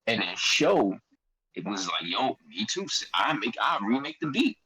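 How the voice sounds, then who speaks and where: Slangy Philadelphia man, lowish voice. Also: big pitch swings, normal speed, over a noisy crowd, rough recording. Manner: loose, slangy, quotes himself a lot. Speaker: guest artist. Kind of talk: casual storytelling chat.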